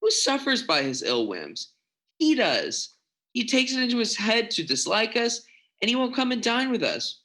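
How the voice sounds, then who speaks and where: A man's voice: sits high, pushed and bright, full character voice. A man playing a cheerful, good-humored young nephew in an amateur radio play recorded over a video call. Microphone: home video call mic.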